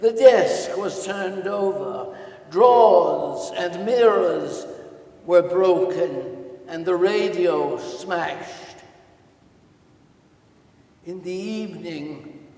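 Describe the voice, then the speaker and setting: Male reader as young diarist, high voice, very slow deliberate account of loss, staged reading in a reverberant hall. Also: vocal fry, mellow, exaggerated voice. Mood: somber, shaken. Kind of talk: dramatic reading of a diary. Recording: distant mic in echoey hall.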